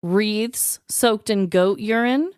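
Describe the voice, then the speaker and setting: A woman co-hosting a podcast with casual comic storytelling and banter, animated and sweary, acting out dialogue. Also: mid-range voice, slow, smooth, no echo, studio quality. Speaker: podcast co-host.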